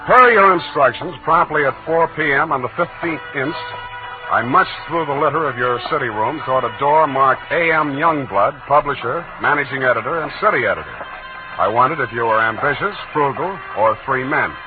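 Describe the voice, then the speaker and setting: A man's voice: mid-range voice, animated, fairly quick, lightly acted. A wry private eye from 1940s radio drama, acted by a man. He speaks in a mid-range voice with dry, sardonic timing. The old recording is tinny and narrow, with music behind him. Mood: wry, dictating. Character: wry, hard-boiled male private detective.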